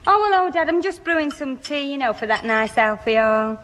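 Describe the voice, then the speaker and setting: High-voiced flirtatious northern English lass. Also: female voice, expressive, fairly quick, fairly bright tone. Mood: chirpy, smitten. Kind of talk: 1960s radio sitcom acting. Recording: noisy old radio recording, long reverb.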